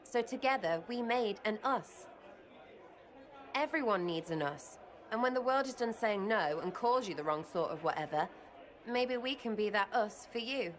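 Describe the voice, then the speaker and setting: A woman's voice: medium pitch, expressive, normal speed, some chatter behind, natural voice. An actress voicing a brash, irreverent young female elf rogue in a video game who talks in cheeky, blunt slang.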